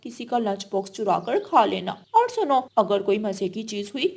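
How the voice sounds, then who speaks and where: A woman's voice: mid-range voice, very animated, normal speed, creaky, darkish tone, husky, full character voice. A woman voicing a lazy, sly mother crow in a cartoon, brazen and dismissive in manner. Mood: sly, smug.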